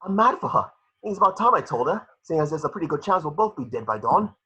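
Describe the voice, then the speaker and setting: A man playing an excited, battle-worn schoolboy wizard, brave and earnest in a screenplay reading. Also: fairly high pitch, fast talker, breathy edge, highs cut off, pitched above the performer's own voice, heavily acted.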